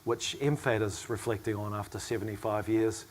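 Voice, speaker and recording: Hoarse academic man chairing a panel. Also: fairly low pitch, steady tone. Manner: reflective, measured, with soft asides and small laughs. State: hoarse, losing his voice with a bad cough. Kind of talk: panel discussion remarks.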